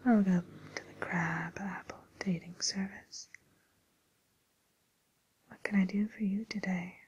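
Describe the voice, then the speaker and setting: A woman playing a polite, attentive receptionist at a fairy-tale dating agency in a soft, breathy, hesitant whisper, as an ASMR roleplay. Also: medium pitch, fairly even intonation, measured pace, highs cut off.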